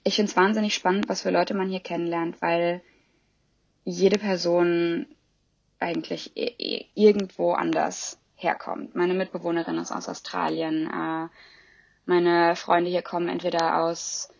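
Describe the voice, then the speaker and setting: A young woman with a gravelly, fry-laced voice talks at length in a loose, rambling way, with frequent fillers and restarts. Her pitch sits fairly low. The recording is dull and lo-fi but dry and close. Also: level tone, measured pace. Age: 20s. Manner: chatty, many fillers and restarts. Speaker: interviewee. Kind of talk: casual interview chat.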